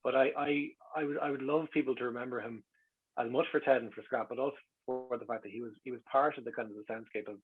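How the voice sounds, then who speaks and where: A man's voice: mid-range voice, steady tone, quick pace, breathy edge. An Irish man chatting reflectively as an interview guest, wry and often interrupting himself. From Ireland. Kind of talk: casual reflective interview chat. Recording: muffled, radio-like call audio.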